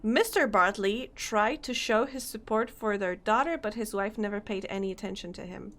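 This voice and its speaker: A young woman streaming a game, chatting casually, reading on-screen text aloud and laughing often, her voice slightly hoarse. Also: mid-range voice, normal speed, fairly bright tone. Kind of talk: casual let's-play commentary.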